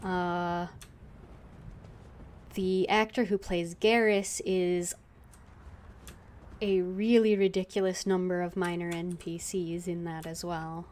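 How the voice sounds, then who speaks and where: A woman's voice: fairly low pitch, level tone, slow, long pauses, darkish tone. A woman game streamer giving casual, rambling commentary, hesitantly thinking aloud.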